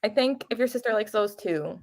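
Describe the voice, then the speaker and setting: A woman's voice: mid-range voice, animated, fairly quick, vocal fry. A woman co-hosting a book discussion live stream, chatting casually with a giggly, playful manner. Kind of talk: casual chat.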